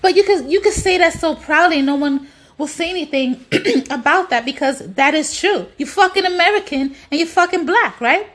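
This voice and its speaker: A woman's voice: very high-pitched, moderate pace, a bit bright. A woman delivering an opinionated, exasperated monologue in colloquial speech, with rhetorical questions and frequent swearing.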